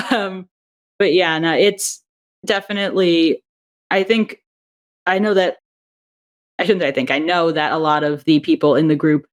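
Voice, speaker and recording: A woman's voice: on the low side, unhurried, pauses often. A woman, an audio drama writer and director, talking casually in an interview, chatty with frequent restarts and easy laughter.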